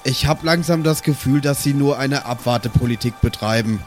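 A man improvises in character on a tabletop roleplay podcast. He questions in a probing, mock-serious manner at a medium pitch. Music plays clearly underneath. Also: normal speed. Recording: clear music playing behind the voice.

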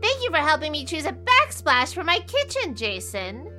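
A woman's voice: sits high, huge pitch swings, normal speed, bright, forceful tone, faint music behind, heavily acted. An actress playing a blunt, cranky, vengeful old witch in a comedy horror radio play. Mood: softening. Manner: blunt, dramatic, scolding. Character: cranky old witch woman, vengeful, foul-mouthed homeowner.

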